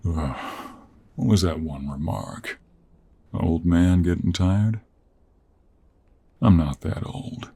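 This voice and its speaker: A man playing a gruff, teasing, weary 49-year-old cowboy monster hunter in an intimate audio roleplay, with a husky Western American drawl. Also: sits low, mellow, heavily acted. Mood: playful, grumbling. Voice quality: gravelly, husky. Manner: slow, drawling, with heavy sighs and pauses. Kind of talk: intimate audio roleplay acting, addressed to the listener.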